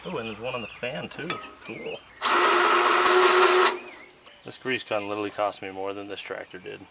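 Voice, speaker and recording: A man's voice: on the low side, moderate pace, vocal fry, very noisy. A man hosting a vlog, chatting casually and joking as he works on an old tractor outdoors, sometimes muttering to himself. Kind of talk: casual how-to narration while working. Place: outdoors on a farm.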